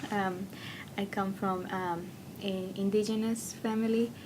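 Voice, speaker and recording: A woman's voice: fairly even intonation, a little slow. A young woman from Mexico with a Mexican Spanish accent, whose first languages are Spanish and Otomi, answering interview questions as a student in a cheerful way. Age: young adult. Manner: cheerful, laughs often. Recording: noisy recording with low rumble.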